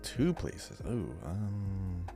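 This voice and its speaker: A man's voice: deep voice, very animated, extremely slow, creaky, music in the background. A man on a podcast quiz, casually thinking aloud in a hesitant, musing way.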